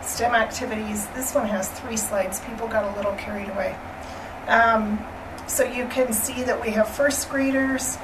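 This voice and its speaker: A woman with a voice going hoarse gives a friendly, chatty slide presentation at a school board meeting, with many ums and throat clearing. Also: a little high, normal speed. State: losing her voice, hoarse. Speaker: elementary school staff presenter. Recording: distant room mic with steady noise.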